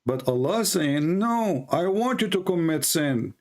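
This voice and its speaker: A man's voice: a little high, big pitch swings, measured pace. Insistent man debating in non-native English. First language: Arabic. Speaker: host, religious debater. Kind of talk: argumentative live debate.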